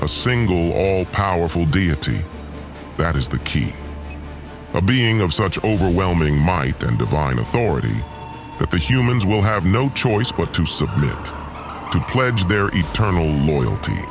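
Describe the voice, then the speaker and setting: A deep-voiced alien tyrant played by a man holds forth in an audio drama. His delivery swings expressively, pompous and commanding, full of gloating menace, with music playing behind him. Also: very animated, a little slow, full character voice. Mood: gloating, grandiose. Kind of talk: first-person audio drama villain.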